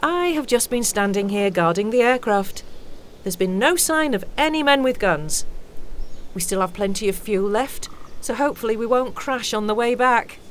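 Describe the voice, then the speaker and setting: A woman's voice: a little high, lively intonation, moderate pace, slight background noise, natural voice. A woman playing a dutiful, upbeat lookout guarding an aircraft in an audio play. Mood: brisk, curious.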